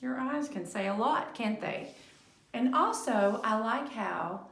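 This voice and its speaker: A woman's voice: a little high, expressive, takes it slowly, vocal fry, a bit bright. A woman talking children through a story like a lesson, with many rhetorical and tag questions. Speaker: speech-language pathologist reading to children. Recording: echoey room.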